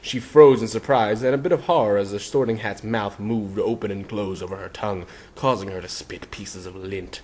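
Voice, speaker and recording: A man's voice: lowish voice, normal speed, hardly any pauses, darkish tone, slight background noise. A man narrating fan fiction as a mock-dramatic reading. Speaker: narrator, reader.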